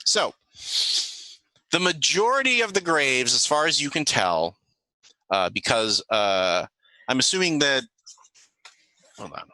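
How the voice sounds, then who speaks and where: A man running a tabletop role-playing game, improvising narration and haltingly thinking aloud as he goes. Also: medium pitch, very animated, pushed and bright, no echo, poor audio. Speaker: game master.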